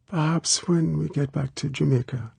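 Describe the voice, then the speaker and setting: Man voicing a soft breathy coaxing young woman. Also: a little high, moderate pace, no echo, voice pushed up, full character voice. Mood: grudging. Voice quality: small soft breathy voice, near whisper. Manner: pleading, intimate. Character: young woman, sweet, coaxing, childlike and stubborn.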